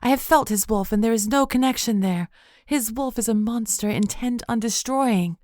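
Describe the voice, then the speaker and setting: A woman's voice: on the high side, moderate pace, a little mellow, dry recording, clean studio sound, voice pushed up, lightly acted. A woman voicing a female spirit living inside the heroine, earnest and urgent. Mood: worried.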